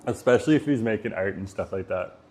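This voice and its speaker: A man casually chatting on a live stream from a Vietnamese restaurant, talking easily, reading chat messages aloud and laughing often. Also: quick pace. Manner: says he has a loud voice and lowers it. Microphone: phone.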